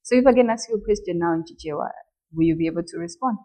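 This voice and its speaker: A woman hosting an interview, asking questions in a formal, measured way. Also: lowish voice, mellow. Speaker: host. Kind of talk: interview questions and scripted outro.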